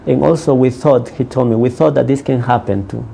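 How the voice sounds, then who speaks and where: Gravelly-voiced counselor, English not his first language. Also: mid-range voice, fairly even intonation, warm tone, slight background noise. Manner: halting, many restarts and fillers. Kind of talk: interview answers.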